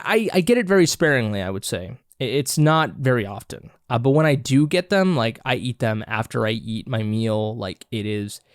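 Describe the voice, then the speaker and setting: A man's voice: mid-range voice, dry recording, studio quality. A congested man hosting a podcast, chatting casually and rambling with many restarts while he snacks. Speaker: podcast host. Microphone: own camera and mic, close and dry.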